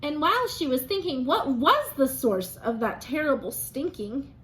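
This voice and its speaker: A woman reads aloud to young children as a teacher, in a sing-song, expressive way, pausing to prompt answers from her listeners. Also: high voice, poor audio.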